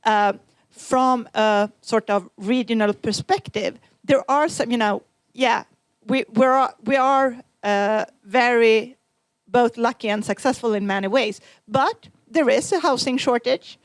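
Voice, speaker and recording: A woman on a conference panel speaks with a fairly high voice, hesitating often and filling pauses as she works out her point. The recording is dry and close. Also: no echo. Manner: hesitant, many fillers. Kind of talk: panel discussion.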